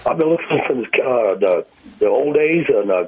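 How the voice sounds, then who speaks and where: An older man calling in to a radio show, a little hoarse, chatting politely in a folksy way. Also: mid-range voice, animated, moderate pace, narrow, tinny audio, a loud crowd. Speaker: phone-in caller.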